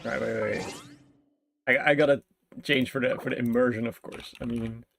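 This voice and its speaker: A man's voice: medium pitch, fairly even intonation, brisk, gravelly, fairly bright tone, a little hiss or hum. A man streaming a game with casual, rambling, joking and giggly commentary. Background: game music.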